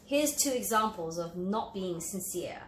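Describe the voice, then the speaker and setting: A woman's voice: medium pitch, animated, a little slow. A woman reading a children's picture book aloud, explaining it in simple phrases for young children. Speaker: children's storybook reader. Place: indoor room, at a table. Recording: roomy echo, light background noise.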